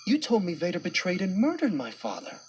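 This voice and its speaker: A man's voice: sits high, huge pitch swings, brisk. A man playing an earnest, resolute young Jedi hero in a dramatised storybook. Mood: hurt, searching. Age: young adult. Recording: music under the voice.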